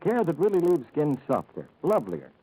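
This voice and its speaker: A male radio announcer in 1940s style reads scripted copy and sponsor pitches. His voice is husky and sweeps widely in pitch, on an old recording. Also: wildly swooping pitch, fairly quick, mellow, rough recording.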